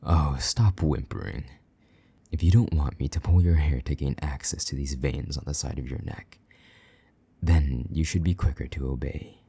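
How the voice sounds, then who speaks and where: Vampire knight, played by a man: rock-bottom pitch, dark breathy tone, possessive, condescending, commanding, intimate roleplay delivery. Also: dry recording, full character voice. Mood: impatient, teasing. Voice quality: low, husky, breathy, often half-whispered. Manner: slow, taunting, commanding. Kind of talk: intimate roleplay audio drama.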